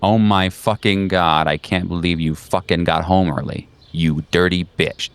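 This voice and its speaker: A man voicing an enraged, foul-mouthed woman nurse who shouts and curses at strangers in a tabletop horror game. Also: deep voice, fairly even intonation, moderate pace, clear, resonant tone, dry recording. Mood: angry ranting. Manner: shouting, cursing.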